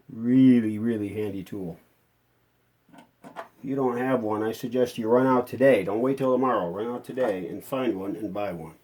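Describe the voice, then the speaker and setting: Man, middle-aged, soft dark tone, medium pitch, casual workshop narration, folksy, chatty, thinking aloud. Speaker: hobbyist restorer presenting a repair.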